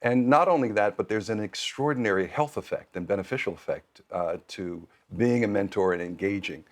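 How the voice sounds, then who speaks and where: A man over fifty giving measured, articulate explanations as an interview guest. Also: on the low side. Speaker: nonprofit program leader, interview guest.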